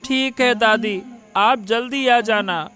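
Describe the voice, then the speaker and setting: A man playing a feverish, plaintive young boy in a cartoon story, pleading haltingly. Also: extremely high pitch, bright, forceful tone, soft background music, exaggerated voice. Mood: weakly stubborn. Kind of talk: Hindi cartoon story dialogue.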